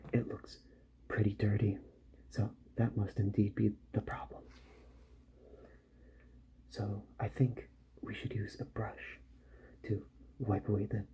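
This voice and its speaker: A man speaking softly, slowly and soothingly in an ASMR roleplay as a repair doctor, often slipping into a whisper. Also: lowish voice, highs cut off, a little hiss or hum.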